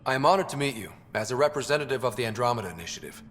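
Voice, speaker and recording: Game casting: young male expedition leader acted by a man, fast, animated, polite and confident, slight music in a reverberant space. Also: mid-range voice, close to an everyday voice. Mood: formal, confident. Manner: earnest, polite. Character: young human explorer leader, earnest, confident, eager to help. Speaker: pathfinder. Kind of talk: video game dramatic acting. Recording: long reverb, faint music behind.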